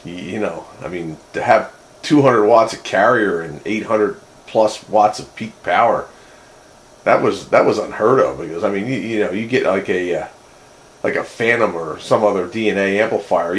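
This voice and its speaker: Low-voiced man in casual radio chat. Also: lively intonation, normal speed, rough recording. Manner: rambling, repeats words. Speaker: host of an amateur radio roundup.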